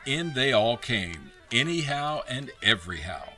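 A man narrating a radio play as scripted dramatic storytelling, expressive and theatrical. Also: medium pitch, a little slow, faint music behind, heavily acted.

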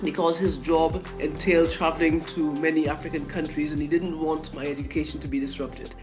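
A middle-aged woman from Guyana, schooled in England, giving a measured account of her career as an interview guest. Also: sits low, steady tone, music in the background. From born in Guyana, schooled in England. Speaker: interview guest, consultant surgeon.

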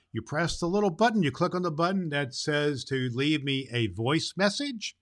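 A man's voice: fairly high pitch, a bit bright. A man playing a jolly, kindly old Santa Claus who hosts a children's show, speaking affectionately and folksily and stumbling a little over his words. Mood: cheerful, chatty. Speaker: host and storyteller of a children's show.

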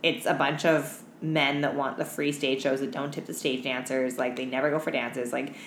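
A young woman with a low voice co-hosts a casual podcast, tired from no sleep. She is blunt and slangy, leaning on "like" and "um" as she tells work stories. The room is echoey with audible background noise. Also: little pitch movement, normal speed. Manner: slangy, blunt, heavy use of "like" and "um". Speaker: podcast co-host. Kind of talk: casual chat, telling work anecdotes.

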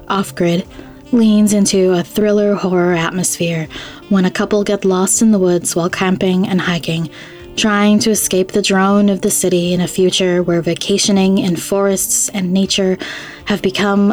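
Even-toned woman podcast host over soft music. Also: medium pitch. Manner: measured, formal, explanatory. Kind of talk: scripted narration.

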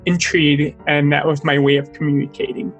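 Young guy talking slow and earnest, accent from being deaf, kinda high voice. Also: little pitch movement, muffled recording, faint music behind. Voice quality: speech with a distinct accent shaped by deafness. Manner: earnest, deliberate, starts many lines with "so". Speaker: artist and former teacher. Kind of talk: interview answers.

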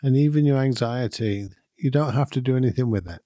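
Mellow man gently guiding a meditation. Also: mid-range voice, no echo, clean studio sound. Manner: calm, soothing, unhurried with long pauses. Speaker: meditation guide and podcast host. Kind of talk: guided meditation, gentle instruction.

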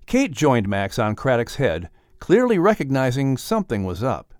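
A man's voice: mid-range voice, huge pitch swings, normal speed, a little mellow, no echo, studio quality. A man announcing and narrating a children's story in an upbeat, scripted style.

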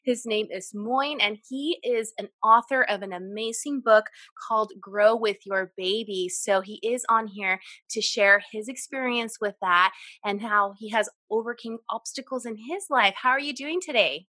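A woman's voice: medium pitch, pushed and bright, no room sound. A woman from California's Central Valley hosting a podcast interview, asking questions in an upbeat, encouraging way. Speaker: podcast host. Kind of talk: casual interview chat.

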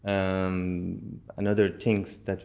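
A man from Iran whose first language is Persian speaks in a low, unhurried voice. He talks in a halting way, with many pauses and fillers while he searches for the right words, as a guest in a relaxed conversation. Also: vocal fry. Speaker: yoga teacher, podcast guest. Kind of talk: casual conversation.

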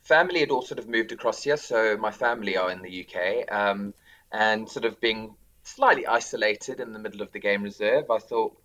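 South African male drama teacher in his thirties, low voice, relaxed rambling guest. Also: brisk, muffled recording. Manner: warm, chatty, many ums and restarts. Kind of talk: casual interview.

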